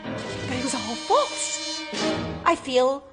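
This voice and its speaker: A woman's voice: high voice, slow pace, full character voice. An actress voicing a young robot woman who learns she was built as a daughter, in a radio drama with music beneath. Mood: bitter. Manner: desperate and defiant, later flat and servile. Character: young female robot daughter, anguished then docile. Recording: with music underneath.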